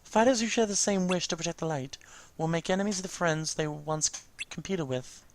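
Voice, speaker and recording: A man voicing a solemn mentor who speaks gravely and formally in a video game story. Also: a little high, a little fast, slight background noise, close to an everyday voice. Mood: solemn foreboding.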